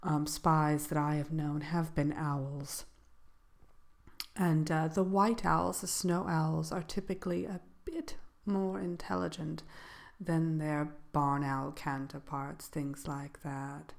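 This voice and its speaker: A woman playing a composed dark sorceress who gives a soft-spoken ASMR seminar in a hesitant, measured, genteel manner. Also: low-pitched, steady tone, vocal fry, full character voice. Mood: composed, matter-of-fact. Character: composed dark sorceress lecturing on magical animal companions. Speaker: seminar presenter.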